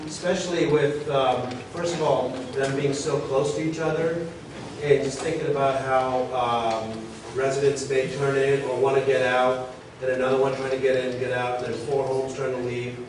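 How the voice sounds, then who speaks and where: A man's voice: mid-range voice, flat delivery, moderate pace. A man on a board asking a developer questions at a public meeting, hesitating often with "um". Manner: hesitant, lots of "um" while asking. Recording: echoey hall from far off, clear noise.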